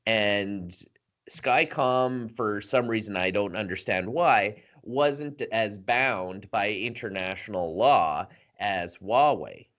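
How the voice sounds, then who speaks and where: Lower-voiced man explaining, lo-fi audio. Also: takes it slowly, a bit bright. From Canada. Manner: explains at length with frequent ums and uhs. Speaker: podcast co-host. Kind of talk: casual explanatory chat. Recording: dull lo-fi podcast audio.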